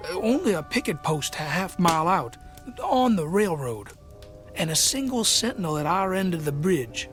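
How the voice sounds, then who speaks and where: An actor playing a weary, polite army corporal in a radio drama, speaking haltingly and with deference. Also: male voice, sits high, sing-song, fairly bright tone, soft background music. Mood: grave.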